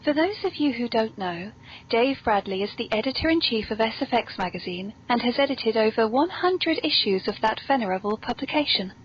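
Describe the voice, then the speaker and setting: High-voiced, genteel British woman hosting. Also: lively intonation, fairly quick. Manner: genteel, playfully theatrical, polite. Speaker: podcast host. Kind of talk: whimsical scripted hosting and genteel chat. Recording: dull lo-fi recording, background noise.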